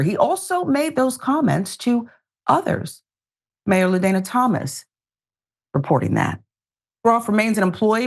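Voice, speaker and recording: A woman hosting a news show, reading reports and commenting in an emphatic, deliberate way with pointed asides. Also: lowish voice.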